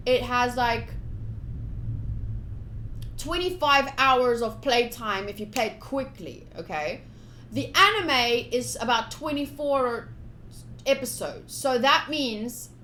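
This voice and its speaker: A woman with a higher-pitched voice chats to viewers on a casual game live stream. She is informal and talkative, addressing them directly. There is some background noise on the recording. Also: pushed and bright, echoey room. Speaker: live streamer playing a visual novel.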